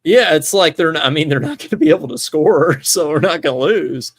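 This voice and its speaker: A man's voice: fairly high pitch, lively intonation, brisk, slightly rough, no echo. Casual man rambling on a sports podcast, dry sound. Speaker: podcast host.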